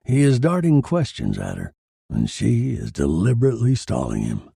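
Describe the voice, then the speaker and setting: A man's voice: medium pitch, wildly swooping pitch, moderate pace, creaky, mellow, husky, no echo, clean studio sound, heavily acted. A man voicing a bitter, sardonic dead husband who narrates his own noir horror story in a theatrical way. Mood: wry, brooding. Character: dead murdered husband, bitter, wry, macabre narrating corpse.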